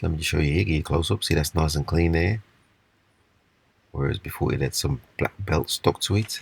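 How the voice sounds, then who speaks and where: A man with a husky voice giving a casual, unscripted, step-by-step walkthrough of hands-on repair work. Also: rumbling low. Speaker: repair demonstrator.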